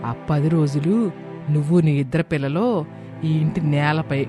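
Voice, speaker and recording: A man's voice: fairly high pitch, level tone, normal speed, dark tone, close to an everyday voice. A man voicing a stubborn, scornful mother-in-law in a cartoon moral story, taunting and commanding. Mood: scornful, challenging. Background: background music.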